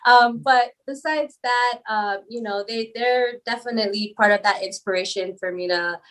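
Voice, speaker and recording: High-voiced woman chatting casually. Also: moderate pace, a bit bright. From Palau. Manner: rambling and laughing easily. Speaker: guest. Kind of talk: casual interview chat.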